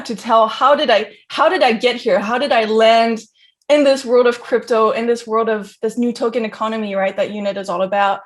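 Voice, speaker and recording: A young woman from Geneva, Switzerland, giving an informal autobiographical talk in an effusive, conversational manner peppered with filler phrases. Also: medium pitch. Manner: conversational, effusive, frequent "like" and "you know". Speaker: guest speaker, crypto entrepreneur.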